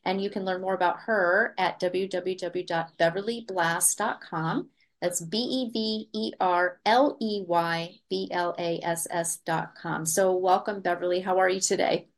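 A woman's voice: lowish voice, fairly even intonation, measured pace. A woman hosting a talk radio show, reading a guest introduction and asking questions in an upbeat, emphatic manner. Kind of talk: scripted radio introduction and interview.

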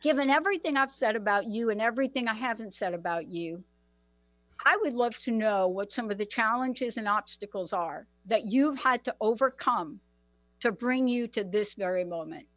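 Effusive female radio host, higher voice, dry close-miked sound. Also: normal speed, no room sound. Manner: animated, effusive, repeats herself. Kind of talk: radio interview.

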